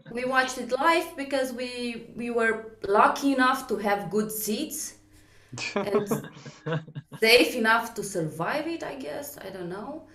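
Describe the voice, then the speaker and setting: A woman in her thirties from Romania with a Romanian accent, doing a stand-up set over a video call from home, dry and unhurried, laughing at her own jokes. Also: on the high side, expressive, a bit bright, roomy sound, slight background noise. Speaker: stand-up comedian. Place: home, at night.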